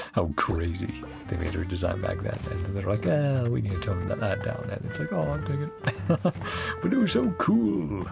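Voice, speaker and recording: A young adult woman with an extremely deep, gravelly voice chats through a game reaction. She hums along and reads lines aloud with a lively, swooping pitch. Game music plays clearly under her. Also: a little slow. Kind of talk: casual reaction commentary. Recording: game music playing behind.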